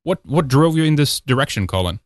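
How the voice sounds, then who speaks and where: A man's voice: medium pitch, animated, fairly quick, dry recording, studio quality. A man from Sweden with a Swedish accent, hosting a talk radio show. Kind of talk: talk radio monologue and guest introduction.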